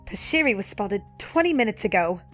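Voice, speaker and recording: A woman playing a bold, cocky young elf renegade in an audio drama, brisk and playfully dramatic. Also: mid-range voice, big pitch swings, fairly bright tone, soft background music. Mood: brisk, confiding.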